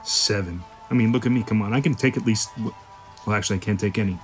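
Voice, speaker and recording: Gruff low-voiced shopkeeper played by a man. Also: quick pace, soft background music, natural voice. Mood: defiant, agitated. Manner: gruff, profane, offhand storytelling. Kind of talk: sci-fi audio drama acting.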